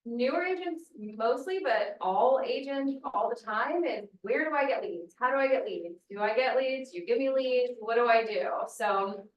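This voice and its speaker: A woman's voice: on the high side, expressive, normal speed, hardly any pauses, lo-fi sound. A woman moderating a real estate agents' panel in an upbeat, joking way. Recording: echoey room, distant mic, faint noise.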